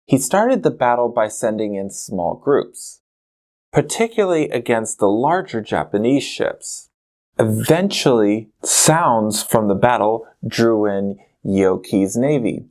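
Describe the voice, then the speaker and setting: A man narrating a documentary history episode at an unhurried pace, his pitch rising and falling expressively. His voice is mellow and dark, and he talks to the viewer directly, in a conversational way.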